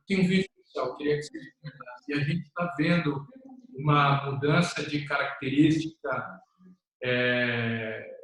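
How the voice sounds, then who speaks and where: A man with a Brazilian Portuguese accent, hosting a wine interview in a relaxed, rambling way. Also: fairly high pitch. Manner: relaxed, rambling, many fillers. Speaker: wine magazine host. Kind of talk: casual interview chat. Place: office room. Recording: very echoey room.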